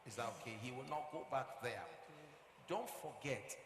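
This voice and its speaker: A man's voice: medium pitch, expressive, normal speed, close to an everyday voice. A man with a Nigerian accent acting on stage as a firm, fatherly marriage counsellor, lecturing emphatically with repetition and rhetorical questions. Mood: grave, counselling. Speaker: actor in a stage play. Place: theatre stage with live audience. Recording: echoey hall, noisy live stage recording.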